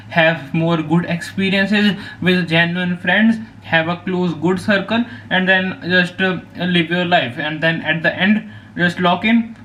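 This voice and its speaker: High-voiced rambling Indian teen boy. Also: bright, forceful tone, poor audio. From Punjab, India. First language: Hindi. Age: teens. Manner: rambling with many fillers and restarts. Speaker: vlogger. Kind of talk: casual talk to camera.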